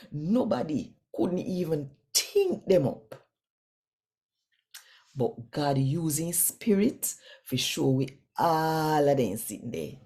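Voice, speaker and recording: A woman with a Jamaican accent reads scripture aloud in Patois, with deliberate, emphatic phrasing. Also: low voice, mellow. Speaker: scripture reader and host of a daily reading.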